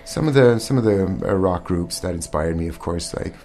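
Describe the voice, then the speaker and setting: A man's voice: deep voice, animated, moderate pace, mellow. A man in his forties, raised in Montreal, talks as a guest musician in a radio studio, answering earnestly and hesitantly with many ums. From born in Armenia, raised in Montreal. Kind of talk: casual interview answers. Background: faint ambient music.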